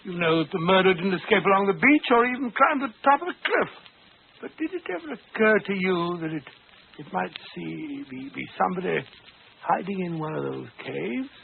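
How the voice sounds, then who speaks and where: A blustery, loyal doctor, frets in a high, gravelly voice. He exclaims and splutters over a tinny old radio recording. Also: lively intonation, fairly quick, slight background noise. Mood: exasperated. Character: blustery, excitable, loyal detective's companion doctor. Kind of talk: old radio drama acting.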